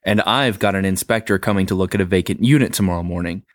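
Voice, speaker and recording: A man's voice: low voice, fast, no echo, studio quality, lightly acted. A man voicing a stubborn, crude male handyman in a read-aloud story, speaking bluntly and protesting. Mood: grumbling.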